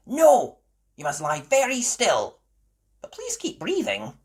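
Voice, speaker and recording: A small, bossy girl lamb with a very high, squeaky voice, voiced by a woman reading a storybook. She speaks firmly, far above the reader's usual register. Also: huge pitch swings, slow, a little mellow, slightly rough, heavily acted.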